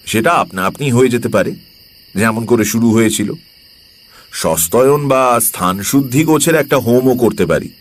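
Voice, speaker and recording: A man playing a calm, knowing occult priest who recounts a horror story in a measured, explanatory way. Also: medium pitch, close to an everyday voice. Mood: calm, candid. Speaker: narrator. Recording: long reverb, faint music behind.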